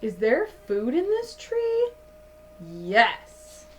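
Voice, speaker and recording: A woman in her thirties reading a picture book aloud to children like a teacher, with deliberate, dramatic emphasis. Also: high voice, huge pitch swings, background noise. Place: small indoor room at home.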